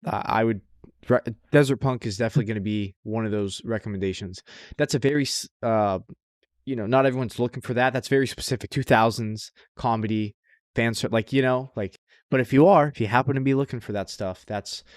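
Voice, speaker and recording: A man's voice: mid-range voice, a little fast, no echo, clean studio sound. A man co-hosting a podcast in casual chat, informal and sweary, repeating phrases for emphasis as he gives recommendations. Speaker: podcast co-host.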